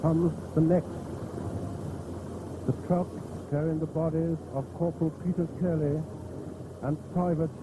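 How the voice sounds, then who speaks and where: A man with an Irish accent giving grave, measured live commentary on a funeral procession in an old radio style, pausing between short phrases. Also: fairly high pitch, level tone, noisy background. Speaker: radio outside-broadcast commentator. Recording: old reel-to-reel radio tape, band music behind. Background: loud marching band music.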